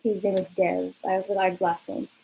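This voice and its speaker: A young woman from California rambles through a personal storytime, filling gaps with "like" and "um" and swearing freely. Her voice sits in a middle pitch. A faint background hiss runs under the recording. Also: level tone, moderate pace, creaky, dark tone, low-quality recording. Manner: chatty, lots of fillers and swearing. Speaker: vlogger. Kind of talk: casual storytime vlog.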